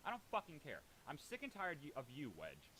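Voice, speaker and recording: Defensive man arguing, very animated pitch. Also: brisk, a crowd in the background, rough recording. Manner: defensive, profane, blunt. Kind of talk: heated argument in a voice chat.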